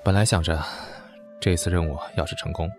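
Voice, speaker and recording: An actor playing a devoted army brigade commander in an audio drama, weary and sincere, with a gently teasing way. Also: male voice, low-pitched, steady tone, a little fast, close to an everyday voice. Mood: weary, sombre. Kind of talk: Chinese audio drama acting. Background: background music.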